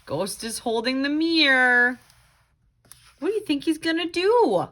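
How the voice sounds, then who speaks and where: A woman's voice: high voice, very animated, measured pace, pushed and bright. A woman tutor reading to children in a sing-song storytelling style, with chatty asides. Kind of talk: expressive read-aloud and craft lesson for children.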